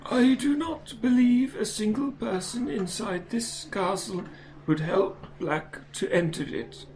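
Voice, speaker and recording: A woman playing a calm, dignified old male wizard headmaster in an audio drama, measured and formal. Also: medium pitch, a crowd in the background, low-quality recording. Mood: calm, firm.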